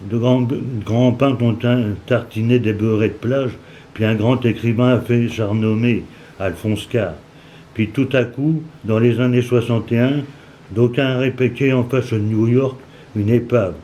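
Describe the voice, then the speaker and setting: A man in his seventies from Normandy with a Cauchois accent, reading a story aloud in dialect, halting at times with restarts and asides. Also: medium pitch, fairly even intonation, mellow, a little hiss or hum. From Normandy, France. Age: 70s.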